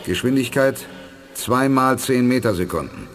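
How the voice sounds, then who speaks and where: An actor playing a resolute, commanding space commander in a 1980s science fiction radio play, speaking with decisive authority. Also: male voice, mid-range voice, very animated, moderate pace, slight background noise. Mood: grave, focused.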